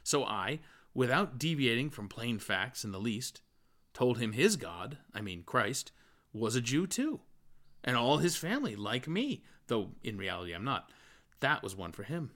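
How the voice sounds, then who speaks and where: A man's voice: mid-range voice, fairly bright tone. A man voicing an earnest, mild-mannered middle-aged man in an audiobook, wordy and moralizing as he tries to reason and persuade. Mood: indignant pride. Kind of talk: literary audiobook dialogue.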